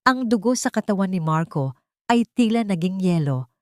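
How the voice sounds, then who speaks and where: A woman narrating a scripted dramatic story in a suspenseful way, pausing between short phrases. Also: mid-range voice, animated, mellow, dry recording, clean studio sound.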